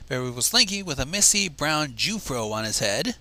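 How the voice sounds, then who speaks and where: A man's voice: a little high, very animated, normal speed, sharp tone. A man reading a fan fiction story aloud on a livestream and breaking off for mocking asides.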